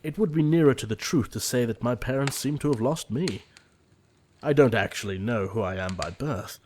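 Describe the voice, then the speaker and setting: A man playing an earnest, formal young gentleman of twenty-nine in a theatrical audio drama, polite and deferential. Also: mid-range voice, normal speed, slight background noise, lightly acted.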